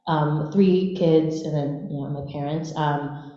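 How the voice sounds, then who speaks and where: A woman's voice: deep voice, steady tone, slow, mellow. A woman in her twenties from the Philippines, a native Bisaya speaker, telling her story casually and informally on a panel. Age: 20s. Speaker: panelist, dancer. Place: large echoey room at a live panel event. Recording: very echoey hall, slightly muffled.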